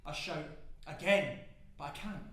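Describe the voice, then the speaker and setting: An actor playing a desperate oil rig worker fleeing a fire, with intense, emphatic delivery in a dramatic monologue. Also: male voice, a little high, a little slow, fairly bright tone. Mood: urgent, breathless. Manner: intense, emphatic, swinging into screams. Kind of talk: dramatic first-person stage monologue. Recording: very echoey room.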